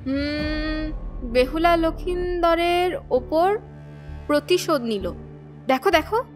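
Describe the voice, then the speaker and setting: A commanding woman police officer, played by an actress questions people in a high, firm voice. Soft music plays under her lines in this audio crime drama. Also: slow. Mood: puzzled. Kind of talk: Bengali audio drama acting.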